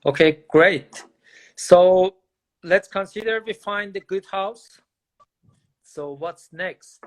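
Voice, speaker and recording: A male interview host whose first language is Farsi, asking questions in slightly broken English. His voice sits a little high, and he speaks slowly and deliberately. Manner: non-native grammar, guiding questions.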